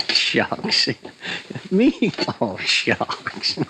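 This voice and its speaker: An actor playing a hesitant, fumbling bartender in an old radio drama. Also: male voice, fairly high pitch, huge pitch swings, drawn-out words, heavily acted. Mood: sheepish. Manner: fumbling, hesitant, says shucks. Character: meek, fumbling male bartender, middle-aged. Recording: noisy old radio recording.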